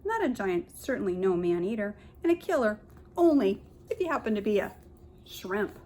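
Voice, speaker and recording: A woman kindergarten teacher reads a picture book aloud to young children, pausing to explain and point things out in simple words. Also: mid-range voice, big pitch swings, mellow.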